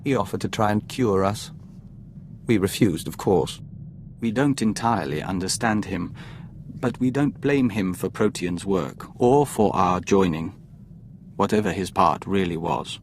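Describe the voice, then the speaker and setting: An actor playing a calm, formal, measured man linked to an alien hive mind in a video game. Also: low-pitched, a little hiss or hum. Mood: calm, guarded. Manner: calls himself "we", measured.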